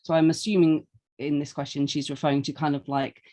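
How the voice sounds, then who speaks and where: A woman's voice: low voice, little pitch movement, brisk, warm tone. A woman co-hosting a podcast, reading out listener questions with dry, teasing humour. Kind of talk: casual chat.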